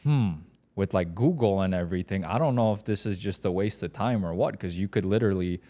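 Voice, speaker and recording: A man's voice: low voice, brisk, mellow. A man from Poland, Polish his first language, co-hosting a podcast in hesitant casual chat, full of "like" and "right". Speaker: podcast co-host.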